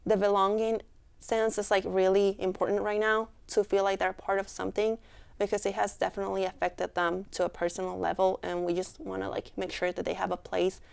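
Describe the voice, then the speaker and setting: A woman's voice: medium pitch, flat delivery, brisk, gravelly. A young woman from Mexico with a Mexican Spanish accent, earnestly answering interview questions with many fillers. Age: young adult. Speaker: student club member, interviewee.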